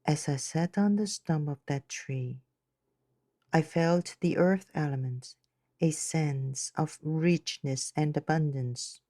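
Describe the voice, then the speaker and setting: A woman narrating a guided meditation in a gentle, measured, unhurried manner. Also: low-pitched, no room sound, clean studio sound.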